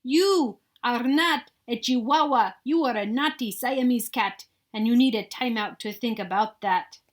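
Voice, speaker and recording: Woman plays storybook mama cat: fairly high, emphatic, firm, part scolding, part coaxing. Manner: emphatic, drawn-out commands. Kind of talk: expressive picture-book read-aloud.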